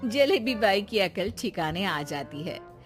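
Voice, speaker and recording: A woman's voice: medium pitch, big pitch swings, slow, faint music behind. A woman reading scripted narration for a cartoon moral story.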